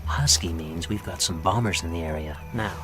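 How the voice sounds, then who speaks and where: A man's voice: low-pitched, moderate pace, close to an everyday voice. A man playing a genial, shrewd wartime intelligence officer in a game, briefing in a folksy, confiding way. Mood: brisk briefing. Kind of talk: dramatic game cutscene acting. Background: music.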